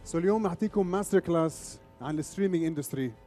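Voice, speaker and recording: A man's voice: a little high, very fast, warm tone, soft background music. A middle-aged man, an Arabic speaker, giving an informal, interactive stage presentation. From Lebanon, grew up in Saudi Arabia. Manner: informal, switches between Arabic and English. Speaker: conference speaker, media executive.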